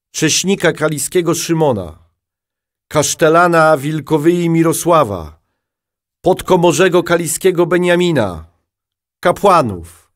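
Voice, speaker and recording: A stately medieval duke, played by a man in a radio drama. He makes a formal proclamation in a fairly high male voice, solemn throughout.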